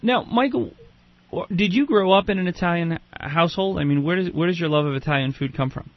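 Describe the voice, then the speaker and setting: A man's voice: sits high, a little fast, no echo, dull audio. An American man hosting a radio talk show in a conversational style, often restarting his phrases.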